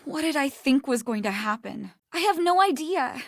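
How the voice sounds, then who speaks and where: An actress playing a guilt-stricken, frightened woman in a video game, speaking in a halting, pleading way. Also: high-pitched, expressive, fairly bright tone, no room sound, clean studio sound. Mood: guilty, distraught.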